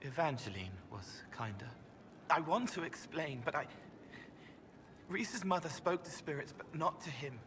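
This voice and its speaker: An actor playing a gentle, otherworldly young man in a video game, speaking in dreamy, halting, poetic fragments. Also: on the high side, animated, background noise. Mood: quiet, haunted. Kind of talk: video game dialogue acting.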